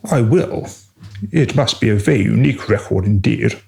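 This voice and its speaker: An actor playing a deferential, long-suffering royal adviser in a comic radio play, formal and hesitant with dry disapproval. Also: male voice, on the low side, moderate pace, gravelly, darkish tone. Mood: deferential, uneasy.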